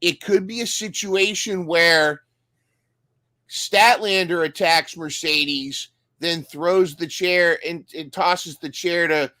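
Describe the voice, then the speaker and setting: A man's voice: a little high, fairly even intonation, slow pace, sharp tone, no echo. A man co-hosting a casual recap podcast, talking enthusiastically and often restarting his sentences. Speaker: podcast co-host.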